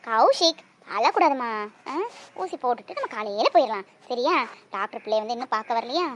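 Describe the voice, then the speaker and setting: A woman's voice: on the high side, very animated, brisk, lo-fi sound. A woman playing a young mother who fiercely guards her child. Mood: soothing. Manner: coaxing to her child, sharp when confronted. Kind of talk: Tamil drama acting.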